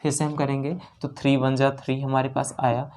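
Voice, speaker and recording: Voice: mid-range voice, level tone, fairly quick, darkish tone. A teacher with a North Indian accent works through exam maths step by step, repeating phrases, checking in with learners and mixing in English numbers and terms. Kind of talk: exam problem-solving lesson, explained step by step.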